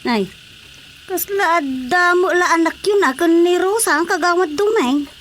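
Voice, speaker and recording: A woman's voice: very high-pitched, moderate pace, a bit bright, a little hiss or hum. A woman with an Ilocano accent acting a nosy, teasing village woman in a radio drama comedy.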